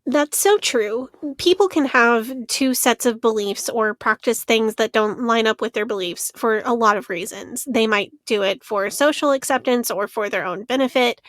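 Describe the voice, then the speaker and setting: A woman's voice: on the high side, no room sound, clean studio sound. A woman co-hosting a podcast, talking through her research in an earnest, analytical way and laughing now and then. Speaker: podcast co-host. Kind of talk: casual podcast discussion.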